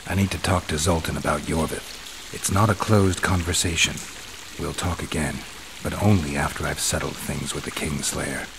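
Deep gravelly stoic monster hunter. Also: male voice, normal speed, background noise. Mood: resolute, guarded. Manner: terse, deadpan. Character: stoic, brooding male monster hunter, middle-aged. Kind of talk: video game acting.

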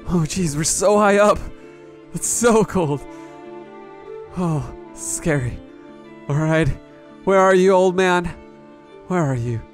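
A man playing an excitable, naive young adventurer, stammering and talking to himself as he goes through an amateur adventure story. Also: sits high, slow pace, long pauses, faint music behind. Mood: tired, awed. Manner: talks to himself, stammering, breathlessly reactive.

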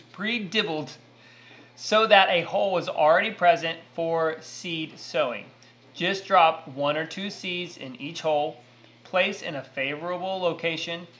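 A man's voice: fairly high pitch, pushed and bright. A man in his thirties, a homestead vlogger, chatting casually and joking while he works in his home kitchen. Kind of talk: casual chat.

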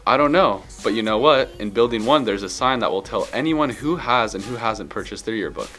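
A man's voice: lowish voice, expressive, a little fast, fairly bright tone. A teenage boy reading upbeat scripted school news as anchor at a studio desk, with music faintly behind. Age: teens.

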